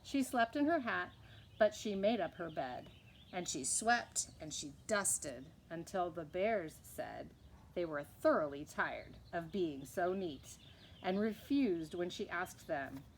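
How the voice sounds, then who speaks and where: A woman reading a children's picture book aloud expressively, in measured, rhythmic verse. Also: lowish voice, expressive, slight background noise. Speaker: read-aloud storyteller.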